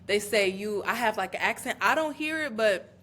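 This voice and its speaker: A woman from Chicago with an American accent hosting a casual podcast interview in animated, slangy, girlfriend-style talk. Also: mid-range voice, moderate pace. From Chicago, United States. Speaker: podcast host. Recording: clear background noise.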